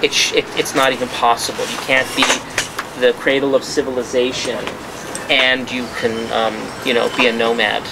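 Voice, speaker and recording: A mid-pitched man talks through his findings at a press conference held in a restaurant. He is emphatic, often restarting and repeating himself as he argues. The background noise is clear under his voice. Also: little pitch movement, normal speed. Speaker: journalist giving a press conference. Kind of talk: spontaneous press-conference talk.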